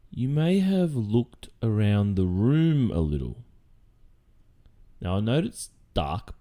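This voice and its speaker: Man acting as obsessive Australian kidnapper boyfriend, low-pitched, swooping intonation, hesitant, creepily cheerful, falsely reassuring, ASMR roleplay. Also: warm tone, exaggerated voice. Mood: softly welcoming. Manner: hesitant, halting, nervous chuckles, falsely reassuring.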